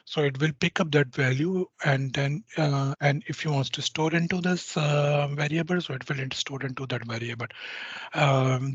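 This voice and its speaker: A man giving a technical software walkthrough to a user group, halting and full of restarts and fillers. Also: medium pitch, husky, no room sound, muffled recording.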